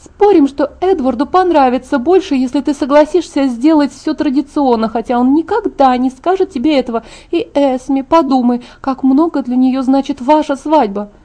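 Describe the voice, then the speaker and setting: A woman acts out an excitable young vampire girl from a storybook, pitched high. She is pushy and sisterly, gushing and pleading insistently until she gets her way. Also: moderate pace, hardly any pauses, lightly acted.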